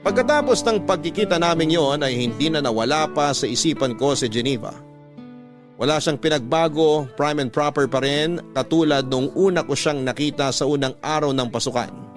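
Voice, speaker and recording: A man's voice: on the high side, normal speed, music in the background. A man with a Filipino accent reading a first-person love story in a classic radio storytelling style. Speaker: narrator reading a love letter.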